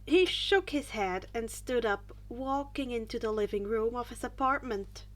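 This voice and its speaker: A woman's voice: on the high side, unhurried. A woman narrating fan fiction as an amateur audiobook reader, reading from a script with the odd stumble.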